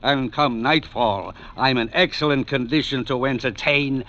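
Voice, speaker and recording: An actor playing a boozy, genial old skid row drunk who talks in grand, wordy, theatrical phrases in an old radio detective drama. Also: male voice, mid-range voice, measured pace, bright, forceful tone. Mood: boastful, rambling. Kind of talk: 1940s-50s radio detective drama acting. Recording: dull lo-fi old radio recording.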